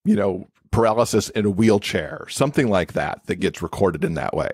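A man's voice: lowish voice, expressive, fairly quick, a little mellow, husky, no room sound, clean studio sound. A man speaking as an expert podcast guest, explaining things in a conversational interview style.

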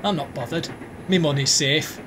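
An actor playing a brash, teasing young football fan with a Northern English accent in a radio play. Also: male voice, a little high, normal speed, close to an everyday voice. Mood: cocky. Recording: faint vehicle noise behind.

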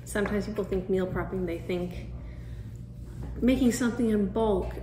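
A woman's voice: medium pitch, moderate pace, mellow, slight background noise. Young woman chatting at a table, talks thru her steps, a bit roomy sounding. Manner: chatty, restarts sentences, gestures with hands. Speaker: vlogger giving meal prep tips. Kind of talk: casual explanatory talk to camera. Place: indoor room, seated at a wooden table. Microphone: camera across the table.

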